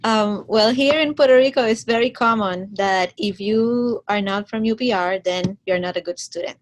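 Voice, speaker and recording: A woman's voice: on the high side, normal speed, slight background noise. A female graduate student telling a story in a workshop discussion, acting out quotes. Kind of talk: casual group discussion.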